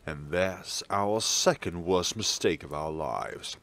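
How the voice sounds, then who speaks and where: A man's voice: low-pitched, slow, background noise. A man hosting a let's play, reading a visual novel aloud theatrically and adding wry, playful asides. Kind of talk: playful gaming commentary and expressive read-aloud of a visual novel.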